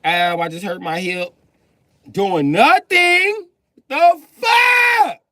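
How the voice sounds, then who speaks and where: A man's voice: high-pitched, extremely slow, pushed and bright, dry recording. A middle-aged man livestreaming a profane, boastful comedic rant.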